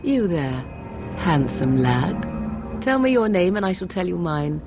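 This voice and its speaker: Sly, haughty young witch, fantasy game: slow, lilting, mocking, archaic. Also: fairly low pitch, very animated. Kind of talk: theatrical fantasy game acting. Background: game music.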